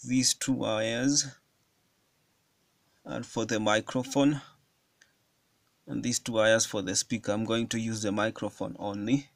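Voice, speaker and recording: A man giving a hands-on electronics tutorial at a table, explaining each step slowly with frequent fillers. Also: on the low side, level tone, vocal fry, no room sound. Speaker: electronics hobbyist demonstrating a circuit. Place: indoors at a wooden table.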